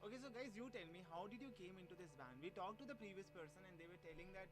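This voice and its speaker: A man's voice: sits high, very fast, music in the background, rough recording. A young man, hosting a campus radio interview and asking casual questions. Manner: upbeat announcer greeting, then casual questions. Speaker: radio host.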